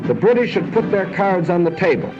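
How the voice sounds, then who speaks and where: A man's voice: high voice, sing-song, a little fast. An American man narrating a wartime documentary from a script in a dramatic, emphatic and solemn 1940s style. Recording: muffled 1940s film soundtrack, music behind.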